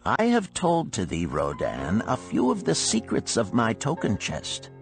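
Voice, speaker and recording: A man acts out a wise old moneylender from an ancient storybook. He counsels solemnly and very slowly, his pitch rising and falling widely, with faint music behind. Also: huge pitch swings, gravelly, exaggerated voice. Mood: solemn, instructive. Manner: solemn, deliberate, archaic sermonizing. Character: wise, cautious, older male gold lender of ancient Babylon. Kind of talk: storybook character reading.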